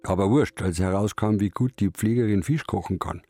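Gruff old Bavarian fisherman played by a male actor for radio drama: low, dark, laconic, grumbling. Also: fairly quick, no echo, close to an everyday voice. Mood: musing, grumbling. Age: older. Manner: laconic, grumbling dialect speech. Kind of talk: radio drama, part narration in third person.

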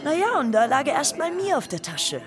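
A woman's voice: high-pitched, big pitch swings, brisk, music in the background. An actress playing a down-to-earth, blunt woman who talks in a colloquial, matter-of-fact, sceptical way in a radio drama. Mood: confiding. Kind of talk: German radio drama dialogue.

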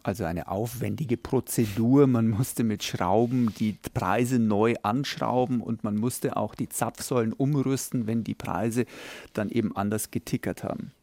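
Co-host, man, gravelly middle-pitched voice, dry close studio sound, calm explainer. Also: measured pace, dry recording. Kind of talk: radio magazine chat.

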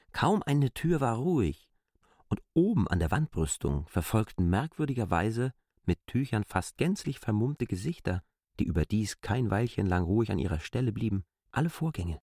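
A man's voice: fairly low pitch, a little mellow, slightly rough, dry recording, studio quality. A man reading scripted literary narration for an audio drama.

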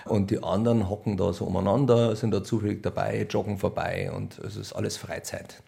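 A man with a low voice and very heavy creak speaks with a Bavarian accent. He answers interview questions conversationally, in a wry and colloquial manner. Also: steady tone, brisk, mellow. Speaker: radio play author and director being interviewed.

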